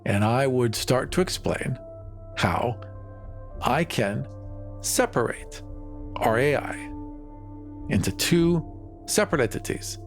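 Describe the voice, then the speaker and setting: A man's voice: medium pitch, lively intonation, takes it slowly, pauses often, soft background music. A man playing a tabletop role-playing game, casually improvising narration of what his character does.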